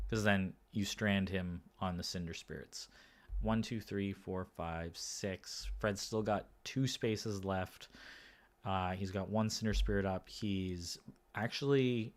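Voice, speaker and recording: Hoarse man commentating a card game. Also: fairly low pitch. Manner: relaxed, thinking aloud with many fillers and restarts. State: slightly hoarse. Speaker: game commentator and podcast host. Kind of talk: solo casual match commentary.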